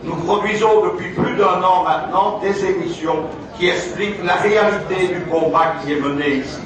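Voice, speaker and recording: Man from Belgium, fairly high voice, formal podium speech; distant, roomy hall sound with an audience. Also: a crowd in the background. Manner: measured, declamatory. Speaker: conference speaker. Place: large conference hall. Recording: echoey hall from far off, audience.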